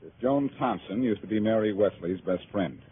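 An actor playing a self-assured amateur sleuth with teasing, flippant banter, in forties radio drama style. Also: male voice, on the low side, normal speed, lightly acted. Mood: playful, teasing. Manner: flippant banter, smooth confidence. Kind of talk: 1940s radio drama acting. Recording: tinny old radio recording with hiss.

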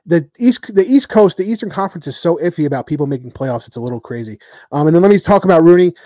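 A man's voice: on the high side, lively intonation, fast talker, warm tone, no echo, studio quality. A man hosting a podcast, rambling through a rugby preview with lots of ums and joking trash talk. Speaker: podcast host. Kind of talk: casual rugby chat.

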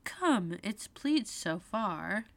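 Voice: mid-range voice, very animated, very slow, vocal fry, dry recording, voice pushed up, heavily acted. A narrator voicing an earnest, prim and inquisitive young girl in a storybook reading. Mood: polite, inquiring.

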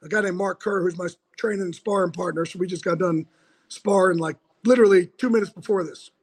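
Raspy guy calling in from home, kinda high voice, loose casual chatter, lots of fillers. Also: steady tone, fast. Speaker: interview guest, football insider. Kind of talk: casual interview chat.

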